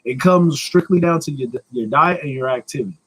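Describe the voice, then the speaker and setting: A man's voice: mid-range voice, a little fast, a bit bright, low-quality recording. An American man in his thirties chatting casually as a live stream host, answering questions in a blunt, slangy, joking manner with some swearing. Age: 30s.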